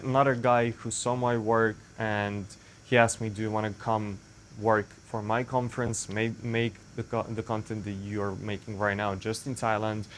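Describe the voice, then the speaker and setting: Serbian-accented young man, low voice. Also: a little hiss or hum. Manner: rambling, self-correcting, frequent fillers. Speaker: vlogger. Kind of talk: casual monologue to camera.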